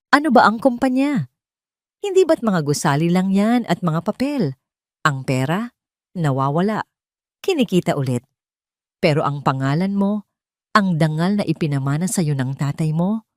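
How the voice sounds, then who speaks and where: A soft-spoken aging mother, calm and steady, offering quiet comfort to her grown son, as a woman narrator puts her on. Her voice sits low and gentle, with a soothing, understanding warmth in every word. It is a dry, close recording for an audio drama. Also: normal speed, long pauses, no room sound, natural voice. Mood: tender.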